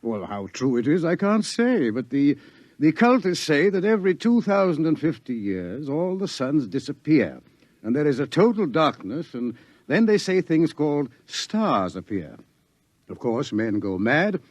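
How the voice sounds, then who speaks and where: A man's voice: on the high side, sing-song, unhurried, darkish tone, no echo. An actor playing a male professor in a 1950s radio drama, explaining the coming doom with some hesitation. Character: male professor, scientist, wry and grave. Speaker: scientist.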